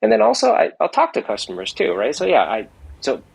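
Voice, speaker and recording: A man's voice: high voice, wildly swooping pitch, a little fast, gravelly, husky, rough recording. A middle-aged man, an entrepreneur guest in a casual interview, rambling with many restarts and tag questions. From United States.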